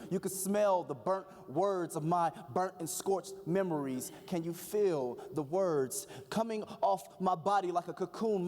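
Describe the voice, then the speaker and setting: This is a man in his early twenties with a slightly raised voice, reciting poetry with a measured, rhythmic delivery. He performs on stage in a big hall, and long reverb trails behind him. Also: a little high, a little mellow. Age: 20s. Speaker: youth spoken word poet. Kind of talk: spoken word performance. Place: large event hall, live audience.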